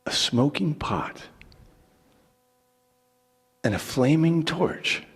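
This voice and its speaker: A man narrating scripture as a dramatic reading, with deliberate pauses and theatrical emphasis. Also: on the high side, animated, breathy edge. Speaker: scripture reader.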